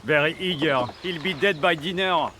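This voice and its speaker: Haughty high-voiced French commandant , played by a man. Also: normal speed, background noise, close to an everyday voice. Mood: dry, cynical. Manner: haughty, disdainful. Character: proud, cynical male French army commandant. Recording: music under the voice.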